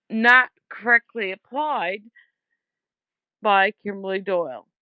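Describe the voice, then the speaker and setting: A woman's voice: medium pitch, dry recording. A woman leaving an informal complaint voicemail over the phone, speaking in a blunt, aggrieved and emphatic way, with dull lo-fi sound. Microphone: phone call.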